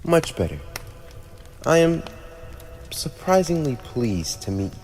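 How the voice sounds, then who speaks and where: A man's voice: fairly high pitch, sing-song, darkish tone, faint music behind. A man voicing a haughty, teasing teenage demon lord with a playfully cruel streak, in an ASMR roleplay addressed to the listener. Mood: imperious. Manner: haughty, teasing, theatrical, with drawn-out pauses. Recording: long reverb, audible background noise.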